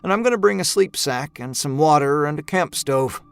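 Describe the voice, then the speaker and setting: A man narrating a first-person horror story in a slow, brooding, measured way. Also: on the high side, animated, gravelly, slight background noise.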